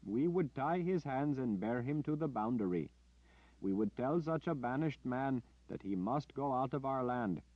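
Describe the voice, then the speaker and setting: An earnest older villager, voiced by a male narrator, lifted in pitch and steady as he explains. The audio is dry and somewhat dull. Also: dry recording, lightly acted. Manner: measured, explaining.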